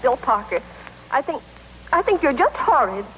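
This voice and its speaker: A woman's voice: sits high, sing-song, normal speed, slight background noise. An actress playing a haughty, indignant young woman in an old radio comedy, on a muffled recording. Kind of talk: 1930s-40s radio comedy acting.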